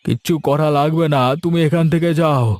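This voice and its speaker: A man's voice: on the high side, fairly even intonation, a little fast, darkish tone, no echo, lightly acted. A man voicing an ailing, grumbling, regretful father in a cartoon fairy tale. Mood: querulous. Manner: grumbling, reproachful. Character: ailing, querulous husband, regretful ageing father.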